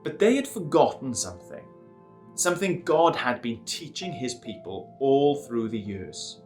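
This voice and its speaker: Man, children's story host, playful, lively pitch, slow delivery. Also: soft background music.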